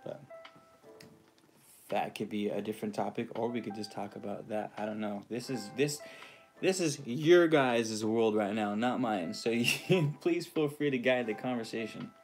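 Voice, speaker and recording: A man's voice: on the low side, moderate pace, a little mellow, faint music behind. A man from California hosting a casual livestream, rambling in a laid-back way with frequent ums and greeting viewers by name. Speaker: livestream host. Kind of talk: casual livestream chat reading viewer comments.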